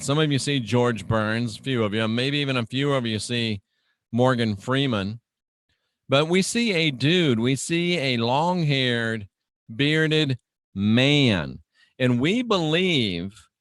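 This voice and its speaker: A man delivering a dramatic sermon-like radio monologue as host, speaking emphatically and deliberately, repeating phrases and putting showman-like rhetorical questions to listeners. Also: mid-range voice, a bit bright, dry recording, clean studio sound.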